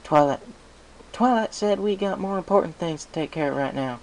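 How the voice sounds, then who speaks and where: A man's voice: on the high side, animated, moderate pace, slight background noise, voice pushed up, low-quality recording. A man voicing a plain-spoken, practical female country pony with a blunt, folksy manner. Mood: matter-of-fact.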